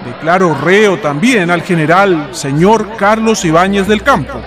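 A man acting a stern Chilean military prosecutor in a radio play. His voice is high for a man and formally declamatory, laying down charges with authority over the murmur of a crowd. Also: unhurried. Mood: solemn, accusing. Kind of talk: historical radio drama acting.

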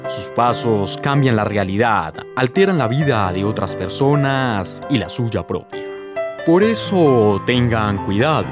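A man playing a solemn, dramatic narrator of a radio soap, speaking in a grandiose, deliberate manner. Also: mid-range voice, lively intonation, close to an everyday voice. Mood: earnest, solemn. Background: music with guitar.

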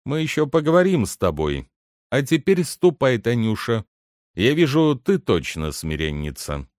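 A man's voice: medium pitch, very animated, moderate pace, a little mellow, no room sound, studio quality, voice pushed up, natural voice. Man playing a brusque, bossy butler with swooping intonation. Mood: condescending. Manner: brusque, commanding, questioning. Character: impatient, bossy male head butler, scheming and condescending. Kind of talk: dramatic literary audiobook character reading.

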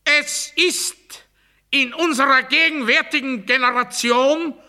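An actor playing a pompous authoritarian demagogue in a satirical radio play, giving a declamatory, bureaucratic and commanding speech. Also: male voice, very high-pitched, steady tone, slow, bright, forceful tone, exaggerated voice. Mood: loud, fervent. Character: pompous authoritarian male demagogue drilling the masses.

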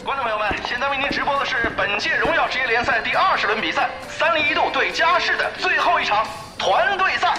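A man playing an excitable, emphatic esports play-by-play commentator in an audio drama, prone to dramatic exclamations. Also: very high voice, quick pace, echoey room, over music. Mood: brisk, upbeat. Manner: emphatic, exclamatory, building to shouts.

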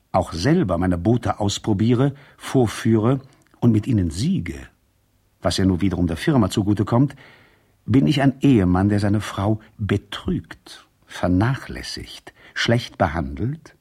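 An actor playing a proud, indignant husband in a radio play, speaking emphatically with a gravelly, husky voice. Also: male voice, on the low side, a little mellow, heavily acted. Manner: emphatic, indignant, swinging between outburst and restraint. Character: indignant, proud husband, boat builder and racer. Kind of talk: radio play, dramatic letter monologue.

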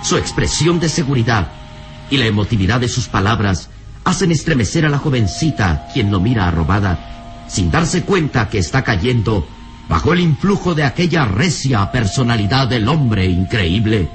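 A man's voice: mid-range voice, expressive, moderate pace, natural voice. A man delivering florid, dramatic scripted narration as the narrator of an old radio serial. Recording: old radio recording over music. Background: music.

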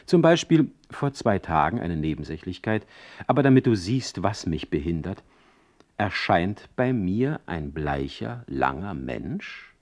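An actor playing a witty, sardonic, ailing middle-aged poet in a radio play, speaking with eloquent, mocking irony. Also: male voice, sits low, very animated, unhurried, darkish tone, heavily acted. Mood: wry, resigned. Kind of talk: radio play acting with letter narration.

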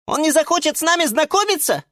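A man voicing a timid, tender-hearted little female violet in a children's story, in a squeaky voice. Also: animated, fast talker, sharp tone, dry recording, studio quality, exaggerated voice. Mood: hurt, pleading.

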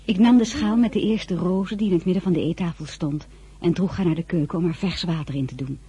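A woman's voice: on the low side, fast talker, warm tone, breathy edge. An actress playing a spirited, stubborn young Frenchwoman who narrates her own story in a Dutch period radio drama. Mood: wistful narration.

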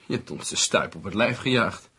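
An actor playing a glib, argumentative friend who reasons and needles in a Dutch radio drama. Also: male voice, fairly low pitch, lively intonation, fairly quick, bright, forceful tone, husky, heavily acted. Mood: dry, probing. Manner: argumentative, theatrical, persuasive. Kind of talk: Dutch radio play acting.

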